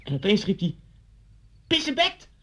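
An actor playing a menacing local policeman in a 1970s Dutch radio thriller, telling things in a deliberate, insinuating way with dramatic swells. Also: high voice, wildly swooping pitch, sharp tone, full character voice. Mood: bitter, intense. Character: local policeman, former pupil, menacingly recalling an old humiliation. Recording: dull, muffled 1970s radio recording.

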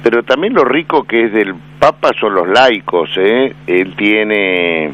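A man with an Argentine accent, commenting on a radio interview in a measured, hesitant way with many fillers. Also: fairly low pitch, animated, fairly bright tone. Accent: Argentine Spanish. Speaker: radio commentator on Vatican affairs. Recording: dull lo-fi AM radio broadcast with faint noise.

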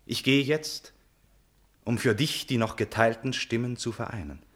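An actor playing a noble, earnest young prince in a classical verse radio drama, with formal, stately declamation. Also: male voice, medium pitch, moderate pace, lightly acted. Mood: earnest, magnanimous.

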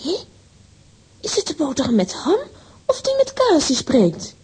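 A woman's voice: high voice, huge pitch swings, a little slow, darkish tone, exaggerated voice. An actress playing a spirited, impulsive young girl with dramatic, exclamatory delivery in a children's audio drama. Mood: hungry, curious.

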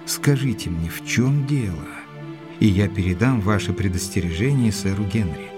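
An actor playing an earnest, gentlemanly doctor in an audio drama, speaking in a courteous, measured way. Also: male voice, fairly low pitch, lively intonation, music in the background, close to an everyday voice. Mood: earnest concern. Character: earnest gentlemanly doctor, loyal and cautious, narrating. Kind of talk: audio drama narration and dialogue.

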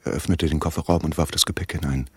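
An actor playing a grave armed companion in a German radio drama, narrating in the first person in a measured, sombre way. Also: male voice, very deep voice, steady tone, darkish tone, slightly rough. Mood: tense, sombre.

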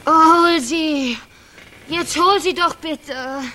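A woman's voice: high voice, sharp tone, a little hiss or hum, full character voice. An actress playing a timid, poor young pregnant woman in a radio drama, pleading plaintively through labour. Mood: pained, pleading. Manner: slow, plaintive pleading with groans.

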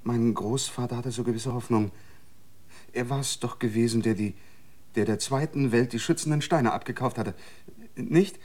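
A man's voice: mid-range voice, normal speed, a little mellow, close to an everyday voice. An actor in a radio drama playing a rambling, regretful man who reminisces in a hesitant, conversational way. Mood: reminiscing. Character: rambling, regretful man recalling his lost world.

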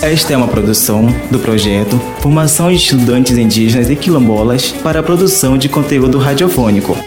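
Echoey Brazilian male credits announcer. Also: medium pitch. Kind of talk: scripted radio credits. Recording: roomy echo under loud music. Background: instrumental music.

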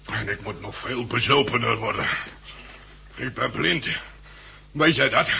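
An actor playing a drunken, despairing blind pub landlord who rambles in coarse, slurred, bitter speech in a radio drama. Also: male voice, mid-range voice, vocal fry, sharp tone, breathy edge, full character voice. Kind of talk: 1960s radio drama acting. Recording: old 1960s radio recording, faint hiss.

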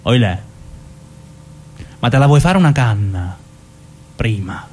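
A man's voice: lowish voice, very animated, takes it slowly, heavily acted. An actor playing a controlling, menacing father in an Italian radio drama, coaxing one moment and domineering the next. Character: menacing, controlling ex-partner, falsely soothing criminal father.

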